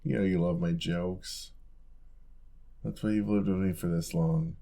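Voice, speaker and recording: Man acting low, creaky, teasing young roommate. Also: steady tone, unhurried. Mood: playful teasing. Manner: soft-spoken, teasing, casual, coaxing. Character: playful, affectionate young male roommate, gently teasing. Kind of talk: intimate ASMR boyfriend audio roleplay.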